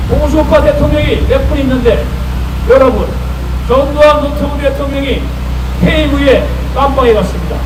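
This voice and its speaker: A man giving a political protest speech in an emphatic, oratorical way with deliberate phrasing. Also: very high voice. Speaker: political rally speaker. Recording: echoey, noisy street PA, distant mic.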